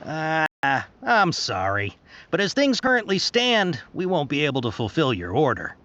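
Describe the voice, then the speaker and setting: A man's voice: fairly high pitch, huge pitch swings, a little slow, pushed and bright, a little hiss or hum, exaggerated voice. A man voicing a polite but cagey bazaar warehouse worker in an anime-style video game, with a courteous, apologetic manner. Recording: muffled game capture with music behind.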